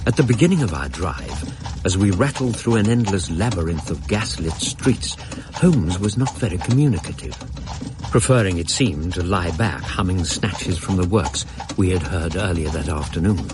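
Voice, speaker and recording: Low, expressive English storyteller. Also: male voice, background noise. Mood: reflective. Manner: measured, literary storytelling. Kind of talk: scripted radio drama narration. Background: music.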